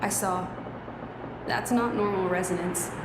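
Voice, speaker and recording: A woman's voice: mid-range voice, fairly even intonation, a little slow, heavy background noise, close to an everyday voice. A woman playing a guarded, blunt young female warrior in a video game cutscene. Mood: serious. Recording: loud music over the voice.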